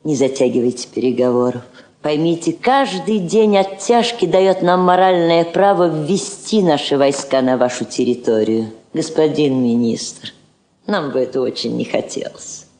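An actress playing an elderly Soviet woman ambassador in a 1960s radio drama, courteous and measured, shrewd and firm beneath subtle irony. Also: low voice, dark tone, close to an everyday voice. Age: older. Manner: measured, diplomatic, subtly ironic. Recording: roomy vintage drama recording with music.

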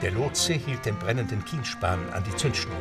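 A man's voice: lowish voice, animated, moderate pace, far from the mic, over loud music. A man narrating a scripted adventure story in a dramatic storytelling style.

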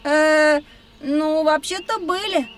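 A very high-voiced, excitable young boy, teasing and easily grossed out, in a children's audio adventure. He exclaims a lot, a little away from the mic with nature noise behind. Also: slow, recorded from a distance. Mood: curious. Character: lively, cheeky, squeamish young boy explorer. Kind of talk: audio drama acting for children.

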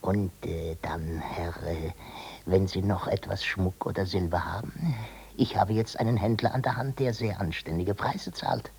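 An actor playing a sly, servile crook who speaks obsequiously and insinuatingly in a 1950s radio drama, often dropping to a whisper. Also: male voice, low voice, a little slow, gravelly, darkish tone, breathy edge, heavily acted. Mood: wheedling, conspiratorial. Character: sly, servile male crook feigning deference to his victim.

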